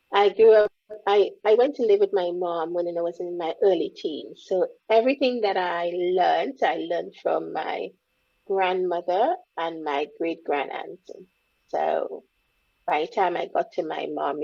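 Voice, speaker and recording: A woman talks in a low, gravelly, husky voice. She is mellow and reflective as she shares her story. The audio is dull and lo-fi. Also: measured pace. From Caribbean, born in Grenada, raised in Trinidad. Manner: reflective, speaks openly about hard memories. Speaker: guest, empowerment coach. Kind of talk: candid interview conversation.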